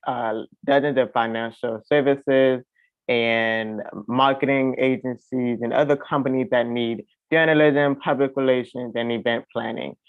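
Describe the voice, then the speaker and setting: A man's voice: medium pitch, dry recording. A man from South Florida hosting a podcast in a conversational style, on a narrow phone-like recording. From South Florida, United States. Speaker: podcast host. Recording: dry, narrow phone-like sound.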